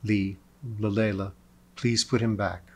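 A man voicing a calm, courteous male celestial peacemaker in a storybook, soft-spoken, measured and formal. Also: fairly low pitch, natural voice. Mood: calm, firm.

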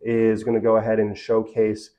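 A man's voice: on the low side, monotone, normal speed, mellow. A man in his thirties giving an informal, step-by-step explanation as a professional in a small meeting room. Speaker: title company representative explaining a document. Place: small glass-walled office meeting room.

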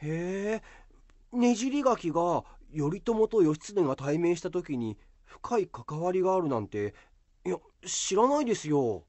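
A man's voice: sits high, big pitch swings, a little slow, darkish tone, no room sound. A man playing an earnest, modest schoolboy who loves history, explaining things eagerly in an amateur radio drama. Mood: puzzled.

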